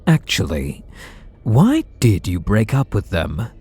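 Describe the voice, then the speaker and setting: A man's voice: fairly low pitch, huge pitch swings, slow, a little mellow, breathy edge, heavily acted. A man voicing a bitter, sarcastic supervillain in an ASMR roleplay audio drama, talking in a sardonic, mocking way. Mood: sneering. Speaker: voice actor.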